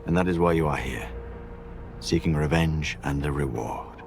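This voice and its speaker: Mysterious game cult prophet, low n breathy, brooding, whispery, loud eerie music behind. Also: male voice. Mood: ominous. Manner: slow, brooding, philosophical. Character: mysterious solemn male stalker cult prophet. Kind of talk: video game cutscene acting.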